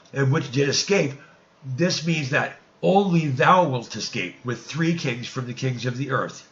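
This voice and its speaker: A man narrating archaic scripture as a dramatic reading, deliberate and theatrical, leaning on drawn-out, emphatic phrases. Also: medium pitch, a bit bright, dull audio.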